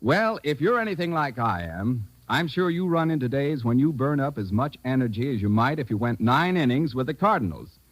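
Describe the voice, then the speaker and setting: An American man reading a folksy sponsor pitch as a 1940s radio announcer. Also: big pitch swings, fairly quick, few pauses, no echo, poor audio.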